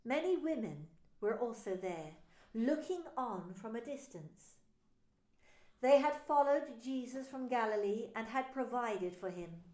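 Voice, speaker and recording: A woman pastor narrating a scripture story in a slow, solemn reading. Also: a little high, lively intonation, darkish tone, reverberant.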